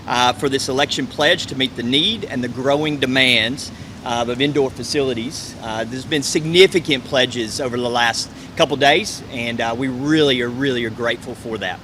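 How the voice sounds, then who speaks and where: A bright, forceful male voice reads a prepared press conference speech. He is formal and measured, at middling pitch, with faint noise behind him. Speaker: community sports centre representative. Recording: faint ambient hum and noise.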